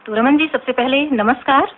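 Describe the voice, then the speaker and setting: An actress playing a friendly, curious radio host, asking polite, articulate questions in a scripted drama interview. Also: female voice, sits high, normal speed, natural voice. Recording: tinny, band-limited, noisy radio recording.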